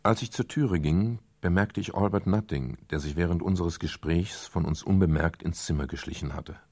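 Private detective, very low, creaky, husky, composed, radio crime drama narrator. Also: male voice, moderate pace, darkish tone. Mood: composed, matter-of-fact. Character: world-weary male private detective, calm, protective.

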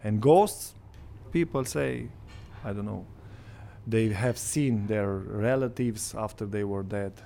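A man with a Romanian accent chatting casually in an interview, in simple, halting non-native English. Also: lowish voice, vocal fry, warm tone. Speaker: waiter acting as interpreter. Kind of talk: spontaneous casual interview talk.

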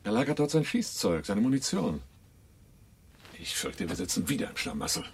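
A man's voice: mid-range voice, big pitch swings, moderate pace, slight background noise. An actor in a German radio drama playing a wary male fugitive boss who is always on guard. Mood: tense, deliberate. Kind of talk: German radio drama acting and first-person narration.

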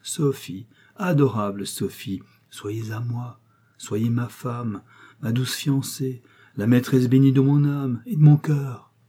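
A man's voice: mid-range voice, slow pace, dark tone, breathy edge. A man voicing a fawning young male suitor who makes gushing, theatrical declarations of love in an audiobook reading. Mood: gushing, pleading.

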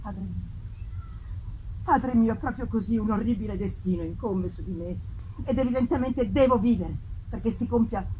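A woman voicing an anguished, feverish young man in an impassioned, halting delivery that repeats words. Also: on the high side, background noise, lightly acted. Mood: despairing, pleading. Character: anguished, feverish young man, guilt-ridden imprisoned narrator.

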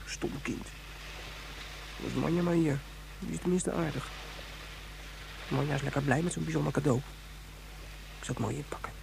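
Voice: medium pitch, moderate pace, vocal fry, pauses often. Child role, huffy and earnest, in a sci-fi radio play; noisy recording. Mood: sulky. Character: young child from Ganymede, indignant, proud, easily homesick. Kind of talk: Dutch science-fiction radio play acting.

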